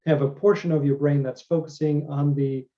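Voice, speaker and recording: Male interview host, somewhat high, mellow tone, muffled lo-fi audio. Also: steady tone, moderate pace. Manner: brief affirmations and thoughtful questions. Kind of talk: casual interview chat. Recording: dull lo-fi sound.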